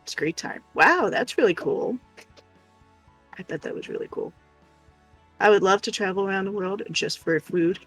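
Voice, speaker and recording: A woman's voice: medium pitch, normal speed, pauses often, fairly bright tone, breathy edge, dry recording, soft background music, low-quality recording. An American woman co-hosting a livestream, chatting casually with viewers. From United States. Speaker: livestream co-host.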